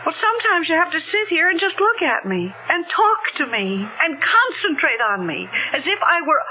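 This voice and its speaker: An actress playing an anguished, neglected wife in an American radio drama, speaking in a halting, earnest, drawn-out way. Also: female voice, very high-pitched, very animated, a little hiss or hum. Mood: hurt, bitter. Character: anguished, neglected wife who feels herself fading away. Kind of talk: old-time American radio drama acting. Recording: dull old radio broadcast with music.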